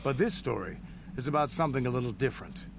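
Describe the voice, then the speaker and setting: A gruff old-soldier game character, an alien warrior proud of his battles. His voice is gravelly and creaky, and he tells his tales in a deliberate, boastful way, with clear noise in the recording. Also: mid-range voice. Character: proud gruff veteran alien warrior man recounting war stories. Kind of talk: dramatic scripted video game dialogue. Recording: game audio under steady noise.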